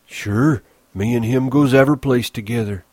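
A man's voice: normal speed, no room sound, natural voice. A man reading a story, voicing a big simple-minded grown man who talks eagerly and childishly. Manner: pleading, eager, rises high when excited.

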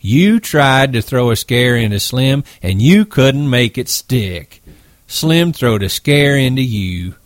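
A man's voice: medium pitch, sing-song, slow pace, dry recording, clean studio sound. A man doing a sneering, crude ranch hand. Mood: contemptuous. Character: rough middle-aged ranch hand, contemptuous.

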